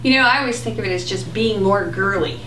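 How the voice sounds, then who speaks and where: A woman with a booming voice co-hosting a tarot reading, chatty and forceful, often jumping in. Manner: chatty, forceful, quick to jump in. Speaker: tarot reader co-host. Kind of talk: casual tarot reading chat. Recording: echoey room, distant mic, faint hum.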